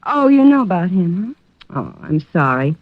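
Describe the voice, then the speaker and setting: Sultry flirty widow, lively swoopy voice, muffled lo-fi radio sound. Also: female voice, lowish voice, moderate pace, dry recording. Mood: coy. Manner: coy, teasing replies. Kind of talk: 1950s radio drama acting. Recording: dull lo-fi old radio recording.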